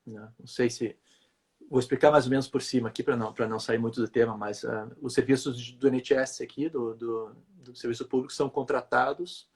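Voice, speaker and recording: A man from Brazil talks through his work as a physiotherapist in a relaxed interview. His voice is husky, and he hesitates often, repeating words as he explains at length. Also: mid-range voice, fairly quick. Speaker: physiotherapist guest. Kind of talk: conversational interview answer.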